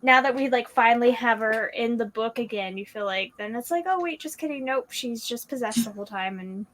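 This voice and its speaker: A young woman in her early twenties with a fairly high voice talks through her opinions in a casual book chat. She vents in an animated, chatty way, peppering her sentences with "like". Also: a little fast. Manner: animated venting with frequent "like". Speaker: book discussion participant.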